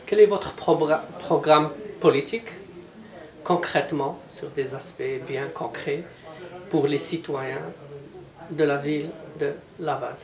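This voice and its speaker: A man's voice: fairly high pitch, unhurried, darkish tone. A man interviewing a candidate, asking his questions hesitantly with many fillers. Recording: echoey room with crowd behind.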